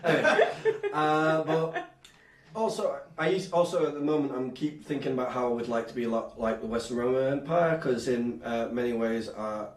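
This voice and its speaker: A man performing a stand-up comedy routine in a rambling, animated way, often interrupting himself. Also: medium pitch, normal speed. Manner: rambling, self-interrupting, animated, sometimes shouting. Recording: echoey room, distant microphone.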